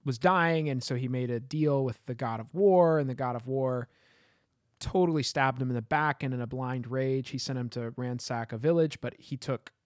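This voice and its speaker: A man talks at length in a casual podcast chat, often searching for words and filling gaps with "you know" and "I mean". His voice sits at an ordinary middle pitch. The recording is close and dry. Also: moderate pace, no echo. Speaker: podcast co-host.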